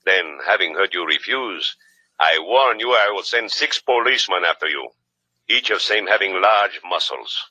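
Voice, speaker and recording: Husky, deep inspector. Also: male voice, animated, moderate pace, sharp tone, full character voice. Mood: politely menacing. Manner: florid, over-formal. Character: mock-polite, threatening male Cuban police inspector. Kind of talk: 1950s radio drama acting. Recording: dull, lo-fi old radio sound.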